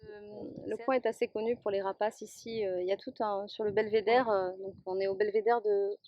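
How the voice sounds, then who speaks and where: A woman's voice: mid-range voice, steady tone, a little fast, lo-fi sound. A woman interviewing a nature guide outdoors, asking chatty questions with fillers. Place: outdoors at a scenic overlook.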